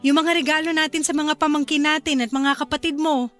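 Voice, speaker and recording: A woman's voice: high voice, steady tone, fairly quick, fairly bright tone, soft background music. A woman playing a cheerful, eager young wife and mother in a Filipino radio drama, with a Filipino accent. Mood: chatty, warm.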